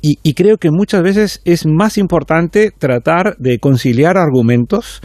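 A man's voice: fairly high pitch, measured pace, darkish tone, dry recording, studio quality. A man who teaches at a university, speaking reflectively as a guest on a panel discussion. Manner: reflective, lecturing.

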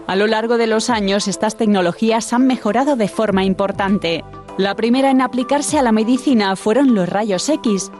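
A woman narrating a scripted radio report in a measured, explanatory way. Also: on the high side, a little mellow.

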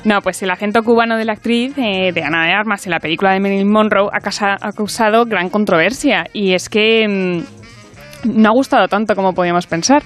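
A woman's voice: medium pitch, a little fast, soft background music. Friendly woman radio reporter. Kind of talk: casual radio chat.

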